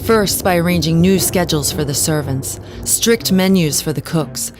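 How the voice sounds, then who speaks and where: An actress playing a haunted, genteel wife who tells her story in a measured, dramatic way in an audio drama. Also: low-pitched, over music, close to an everyday voice. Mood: haunted, grave. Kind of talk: scripted audio drama narration.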